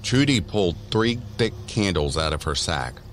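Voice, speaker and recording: A man's voice: deep voice, lively intonation, moderate pace, soft background music. A man narrating a scripted horror story as the storyteller of an audio drama.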